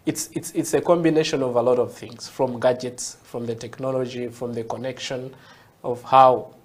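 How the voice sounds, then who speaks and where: A man's voice: mid-range voice, fairly even intonation, normal speed, a little mellow. A Ugandan man with a Ugandan English accent, a guest in an interview, patiently explaining technology and often checking in with "yeah?". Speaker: guest, tech entrepreneur.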